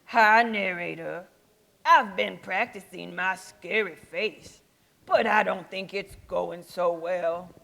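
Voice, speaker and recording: A woman playing a gentle, timid, cute fluffy Yeti in a children's puppet show, speaking hesitantly with a creaky voice. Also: on the low side, takes it slowly, full character voice. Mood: hesitant, resigned. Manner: hesitant, reluctant, mild-mannered.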